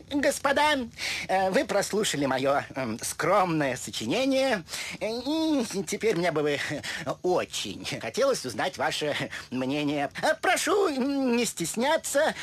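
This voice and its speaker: An actor playing a fretful, theatrical poet lamb in a cartoon, declaiming grandly and hemming between phrases. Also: male voice, sits high, wildly swooping pitch, a bit bright, full character voice. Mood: coy, bashful. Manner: melodramatic, declaiming, halting with hems. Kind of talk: cartoon voice acting.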